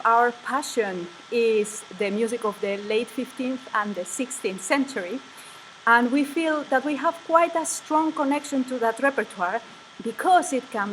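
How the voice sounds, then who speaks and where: A woman's voice: on the high side, a bit bright, a little hiss or hum, poor audio. A woman from Spain with a Spanish accent, presenting a live online session for a music ensemble. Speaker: ensemble member presenting a livestream. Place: room at a music conservatory.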